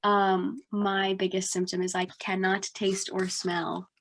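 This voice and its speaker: A woman's voice: mid-range voice, monotone, measured pace, background noise. A young woman from New Jersey, sounding congested, telling a story in a casual podcast chat with lots of "like". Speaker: podcast co-host.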